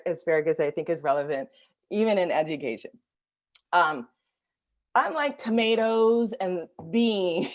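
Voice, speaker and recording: Reflective woman co-presenter on a video call, low voice, halting with fillers. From Washington, D.C. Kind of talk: webinar discussion.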